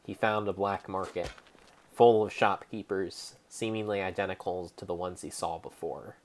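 Low-pitched young man in dramatic narration. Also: steady tone, slight background noise. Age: young adult. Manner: theatrical, measured, pausing. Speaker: game streamer. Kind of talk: dramatic storybook narration of gameplay.

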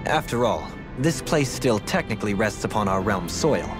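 Formal princely type, low voice, very proper and precise, game cutscene. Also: male voice, big pitch swings, moderate pace, over music. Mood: composed, courteous. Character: formal, courteous, regal young man. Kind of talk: video game cutscene acting.

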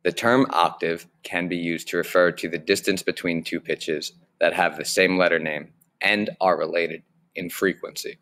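A young man with a low voice explains music basics slowly and clearly, teaching a recorded online lesson from a small room on his webcam mic. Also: steady tone, fairly bright tone.

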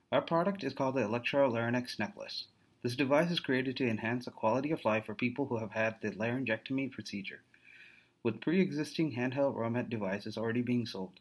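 Young man, average pitch, student presenter, scripted slide narration, read aloud. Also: husky. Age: young adult.

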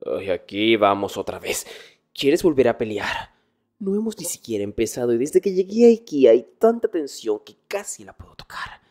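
Male actor as arrogant nerdy student, dark mellow voice, husky, hushed ASMR roleplay, often whispering. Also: medium pitch, sing-song, moderate pace. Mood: exasperated. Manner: hushed, hesitant stammers, often dropping into whispers. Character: arrogant nerdy young male student, exasperated, teasingly dominant. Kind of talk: Spanish ASMR boyfriend roleplay, intimate one-sided dialogue.

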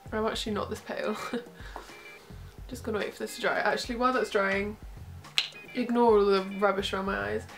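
Young woman, nasal with a cold, casual and giggly, mid pitch, faint music in the background. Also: a little fast, vocal fry, pauses often. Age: young adult. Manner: chatty, relaxed, giggly asides. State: congested from a cold. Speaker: makeup vlogger. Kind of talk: casual makeup tutorial. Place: small bedroom, indoors. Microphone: camera close in front.

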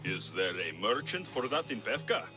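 A male actor plays a wry, blunt mercenary adventurer in an ancient Greek video game. His pitch is very mobile, carrying dry humour and a readiness to help. Music plays clearly behind the voice. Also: sing-song, normal speed, close to an everyday voice. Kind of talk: video game character acting.

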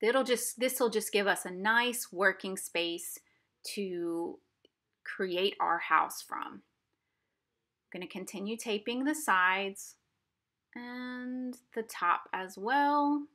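Woman, art teacher, bright forceful voice, step-by-step children's craft lesson, simple explanations. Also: medium pitch, slow. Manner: simple, explanatory, addressing young viewers. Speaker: art instructor for a museum family program. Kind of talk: instructional craft demonstration for children. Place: indoors at a craft table.

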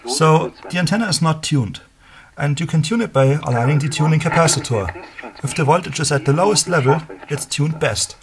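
A man's voice: fairly high pitch, animated, brisk, crowd noise. A man giving a hands-on demonstration as a hobbyist presenter. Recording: clear background noise, handheld camera mic.

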